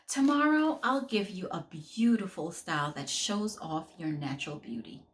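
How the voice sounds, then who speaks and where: A woman's voice: fairly low pitch, lively intonation, moderate pace, slight background noise, natural voice. A woman voicing a loving mother comforting her daughter in a children's storybook, tender and soothing.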